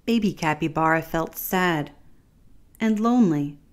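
A woman reading her children's picture book aloud as narrator, gently and expressively. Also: low voice, a little slow.

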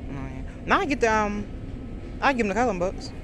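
A woman's voice: medium pitch, big pitch swings, fast. A woman vlogging casually while shopping, talking with lots of ums and hesitations. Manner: chatty, hesitant with many ums. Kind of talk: casual family vlog chat. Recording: noisy phone recording.

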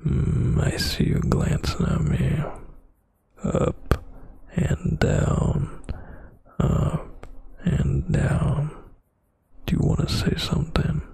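A man performing intimate boyfriend ASMR as a huge, dominant, teasing roommate, in a breathy, gravelly half-whisper close to the mic. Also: a little high, little pitch movement, darkish tone, no room sound. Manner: slow, teasing, dominant murmur. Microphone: close microphone.

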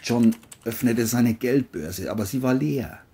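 A man's voice: medium pitch, lively intonation, unhurried, warm tone, husky. A man reading scripted narration for an audio crime story, as its narrator.

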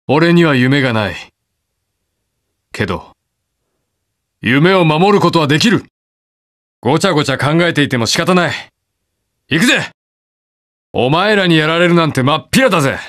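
An actor playing a stubborn, protective young masked hero in a video game, speaking curtly and brusquely. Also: male voice, on the high side, fairly bright tone, no echo, studio quality. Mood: solemn, resolute. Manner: curt, brusque, rough casual speech. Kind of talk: video game voice lines, battle quips.

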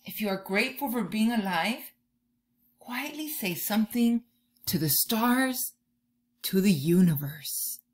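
A woman in her thirties doing an expressive children's read-aloud, drawing out key words like a teacher talking to young listeners. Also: mid-range voice, unhurried. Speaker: teacher reading a picture book to children. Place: bedroom or room at home, indoors.

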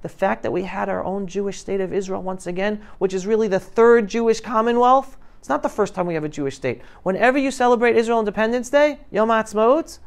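A middle-aged man giving an impassioned, animated motivational talk to young people, with emphatic delivery. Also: high voice, brisk, vocal fry, hardly any pauses. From New York City, United States. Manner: emphatic, animated, swinging between loud and hushed. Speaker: guest speaker addressing high school students. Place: classroom, indoors. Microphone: lapel mic.

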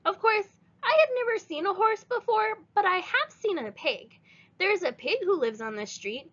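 A chatty, eager little girl in a storybook, her voice pitched high and bouncing all over as she rambles, as a woman reading aloud puts her on, on a slightly dull, lo-fi recording. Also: expressive, moderate pace, a bit bright.

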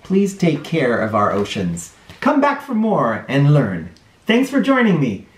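A man in his forties reads a children's counting book aloud from his living room, his pitch swooping high and low in a lively, animated way. He takes his time, reading at an unhurried pace, and the room gives his voice a noticeable echo. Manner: expressive storyteller, drops low and slow on each count. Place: living room with couch and toys, indoors.

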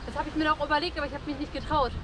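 A woman's voice: sits high, fairly even intonation, racing, noisy background. A young woman chatting casually outdoors with friends during a game. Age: young adult. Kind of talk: casual chat. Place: outdoor playground in a park.

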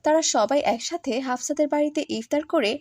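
A woman's voice: high-pitched, brisk, darkish tone, no echo, studio quality. A woman reading scripted narration for a children's moral story.

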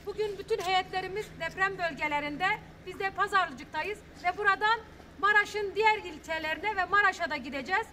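High, bright woman giving an outdoor statement. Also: slight background noise. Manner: earnest, steady, with halting restarts. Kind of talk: press statement. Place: outdoors in front of earthquake rubble.

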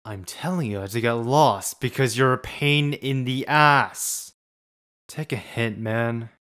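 A man's voice: mid-range voice, slow, fairly bright tone. A young man acting a cool, blunt, nonchalant teenage boy in a manga fandub, with a laid-back drawl. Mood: bored, mocking.

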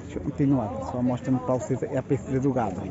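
A man's voice: mid-range voice, fast talker. A man from Northeast Brazil with a Pernambuco accent, filming as he walks through outdoor cattle pens, chatting to viewers and calling out prices. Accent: Pernambuco Portuguese. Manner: chatty, calls out prices to viewers and greets sellers. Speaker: livestock market vlogger. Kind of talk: casual walk-through narration. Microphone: phone camera held while walking. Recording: dull phone audio with crowd behind. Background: crowd chatter and cattle.

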